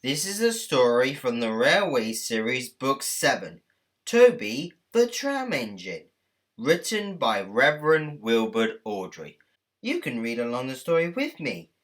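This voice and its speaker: A woman narrating a children's story from a script in an expressive, deliberate way. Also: a bass voice. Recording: music under the voice. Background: music.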